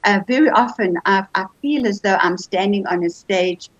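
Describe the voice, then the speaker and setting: A woman's voice: medium pitch, normal speed, no echo. A woman hosting an online writers' seminar, interviewing conversationally with hesitant phrasing and repeated words. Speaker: host of a writers' group seminar. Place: online video call. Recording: dull, lo-fi video-call audio.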